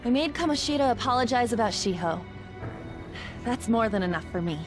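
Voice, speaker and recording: An actress playing a sincere, soft-hearted teenage high school girl in a video game, gentle and earnest. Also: expressive, brisk, natural voice. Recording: music behind the voice.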